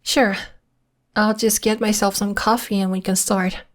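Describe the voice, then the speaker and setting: A woman playing a young, polite and mysterious half-werewolf in an ASMR roleplay, speaking in a gentle, hesitant, earnest way. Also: medium pitch, flat delivery, measured pace, natural voice. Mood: relaxed. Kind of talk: ASMR roleplay, intimate one-sided acting.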